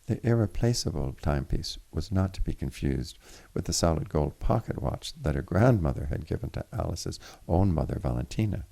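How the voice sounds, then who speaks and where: A man reading literary fiction aloud in a formal, deliberate way, with the occasional stumble. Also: sits low, expressive, creaky, dark tone. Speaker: reader of a story chapter. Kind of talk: literary audiobook narration.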